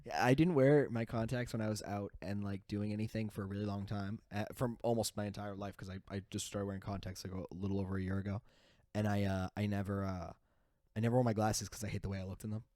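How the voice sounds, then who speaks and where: A man's voice: fairly low pitch, fairly even intonation, fast, dark tone, no room sound, clean studio sound. A man in his twenties co-hosting a podcast, rambling and self-deprecating with many restarts. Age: 20s. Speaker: podcast co-host. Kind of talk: casual banter.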